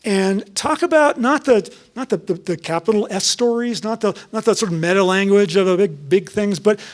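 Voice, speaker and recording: A man giving a public lecture and taking audience questions, talking in a halting way with many restarts and ums. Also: high voice. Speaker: guest lecturer.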